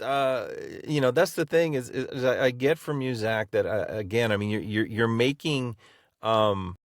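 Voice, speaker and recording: Middle-aged podcast host, deep voice, dry sound, kinda halting and encouraging. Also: male voice, no echo. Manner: halting, searching for words, encouraging. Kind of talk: casual podcast interview.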